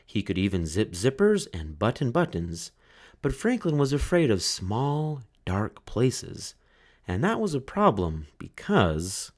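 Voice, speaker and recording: Children's read-along narrator, male: unhurried, lively intonation, clear storytelling delivery. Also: on the low side.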